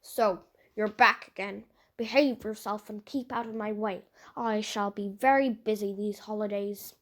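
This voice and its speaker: Woman playing a gruff, curt scholarly uncle. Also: mid-range voice, steady tone, measured pace, a bit bright, pitched below the performer's own voice, natural voice. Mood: curt, irritated. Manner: grunting, curt.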